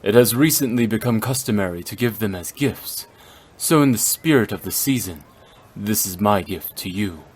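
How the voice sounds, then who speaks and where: A man playing a calm, learned, dignified gentleman in a video game, speaking formally and with composure. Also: lowish voice, moderate pace, breathy edge. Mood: calm, kindly. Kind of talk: measured game voice acting. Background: background music.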